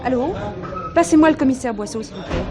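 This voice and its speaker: An actress playing a distraught, urgent police superintendent's wife making a phone call in a French television drama. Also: female voice, high voice, expressive, quick pace, over a noisy crowd. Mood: anxious. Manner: urgent, breathlessly worried.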